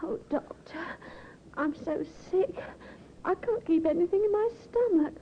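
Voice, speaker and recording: Sickly young wife, very high girlish voice, plaintive, thin noisy old radio recording. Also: unhurried, dull audio. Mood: weak, plaintive. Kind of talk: 1950s radio acting.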